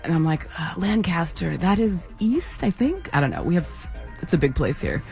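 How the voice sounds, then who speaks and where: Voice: medium pitch, sing-song, normal speed, vocal fry, darkish tone, faint music behind. A radio host in a studio, running a casual interview with a chatty, rambling way of asking questions and frequent laughter.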